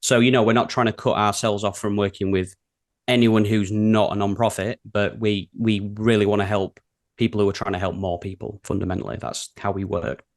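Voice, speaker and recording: This man speaks with a broad Yorkshire accent and a somewhat low voice. He talks as an interview guest in a relaxed, chatty way, with plenty of ums as he goes. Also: brisk. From England. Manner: easygoing, chatty, with frequent ums. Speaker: creative director and guest. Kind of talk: casual interview conversation.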